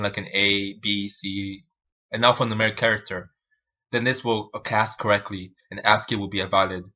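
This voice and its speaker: Deep-voiced Spanish-native man live coding. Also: sharp tone, muffled recording. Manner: halting, informal, self-narrating. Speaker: programming livestream host. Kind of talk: live coding tutorial, thinking aloud.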